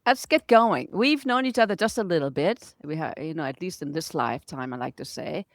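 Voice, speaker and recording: A woman's voice: low-pitched, lively intonation, fairly quick, dry recording. A woman hosts a podcast interview in English, her second language. She talks in an encouraging, conversational way. The sound is dry and close. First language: not English. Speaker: podcast host. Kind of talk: relaxed interview chat.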